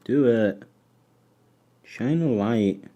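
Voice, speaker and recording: A man's voice: medium pitch, extremely slow, dark tone, dull audio. A man, born in Poland and raised in England, chatting on a live stream while he takes apart an old camera. He hesitates, restarts his sentences and murmurs to himself as he works. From born in Poland, raised mostly in England. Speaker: electronics livestream host.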